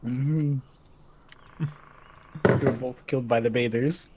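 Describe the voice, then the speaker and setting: A man's voice: mid-range voice, fairly even intonation, slow, heavy background noise. A man playing a tabletop roleplay game, improvising casual banter in and out of character.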